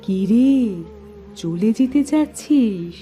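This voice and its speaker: A woman voicing a menacing hanging corpse ghost in a horror cartoon, with slow, drawn-out, threatening calls. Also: on the high side, lively intonation, warm tone, a little hiss or hum.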